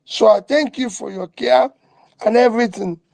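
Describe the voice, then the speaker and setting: A man's voice: very high-pitched, moderate pace, vocal fry, darkish tone. A man speaking at a public event in short, blunt, emphatic sentences.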